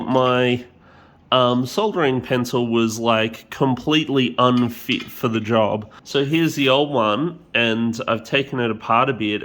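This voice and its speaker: A man's voice: medium pitch, a bit bright. A man with a stuffy, nasal voice from a cold, casually talking through a repair with lots of ums and the odd swear. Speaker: hobbyist repair vlogger. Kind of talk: casual hands-on tutorial.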